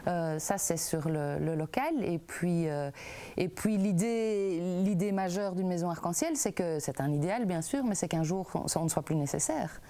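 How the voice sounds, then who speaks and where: A woman's voice: on the low side, normal speed, mellow. A woman from an advocacy association answering interview questions, thinking aloud and searching for words. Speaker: association worker.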